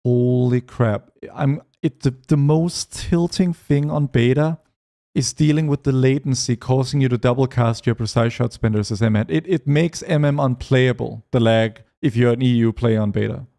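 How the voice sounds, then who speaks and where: A man streaming a game, chatting with his viewers and reading their messages aloud as he plays. His voice is mellow and dark, with a gravelly rasp at the bottom, and his pitch sits in a middle range. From Europe. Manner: reads chat aloud while playing, quick asides. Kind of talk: casual gaming stream chat.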